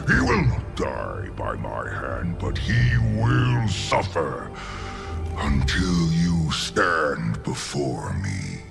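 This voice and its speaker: An actor playing a brutal, proud alien warlord in a video game, slowly making menacing, grandiose declarations in a gravelly, booming voice. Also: male voice, huge pitch swings, over loud music, heavily acted. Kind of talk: theatrical video game villain monologue.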